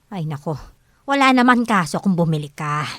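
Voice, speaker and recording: A woman's voice: lowish voice, expressive, moderate pace, fairly bright tone, no room sound, clean studio sound. An actress playing a harsh, nagging mother in an audio drama, scolding and accusing in a Filipino accent. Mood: irritated, accusing. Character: harsh, nagging, gambling mother who bullies her daughter.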